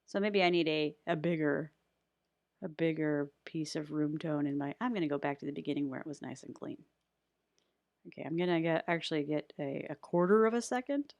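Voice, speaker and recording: A woman's voice: sits low, brisk, gravelly, darkish tone, no echo. A woman giving a casual, unscripted editing tutorial in a chatty, rambling way with self-deprecating humour.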